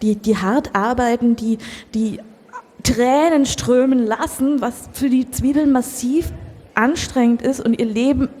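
A woman improvising a comedy radio play as an earnest, emotionally invested mentor, speaking in a halting, mock-solemn way. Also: on the high side, dark tone. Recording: echoey hall, live workshop performance.

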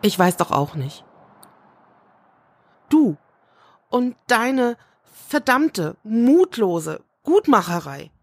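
A woman's voice: on the high side, big pitch swings, drawn-out words, long pauses, slightly rough, dry recording, clean studio sound, exaggerated voice. A woman acting as an overwhelmed, emotional cook in a German audio drama, hesitant and intense. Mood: upset, despairing. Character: ironic, emotional, overwhelmed young female cook.

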